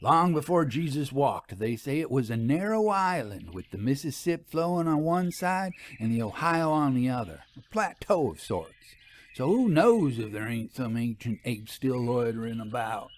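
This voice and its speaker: A man's voice: medium pitch, expressive, normal speed, close to an everyday voice. Folksy Southern buggy driver, acted by a man. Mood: easygoing.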